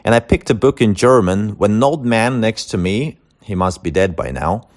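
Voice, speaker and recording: A man's voice: on the low side, normal speed. Wry, joking man vlogging about languages. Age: adult, older than the internet era. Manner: wry, joking asides with deadpan punchlines. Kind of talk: scripted video monologue.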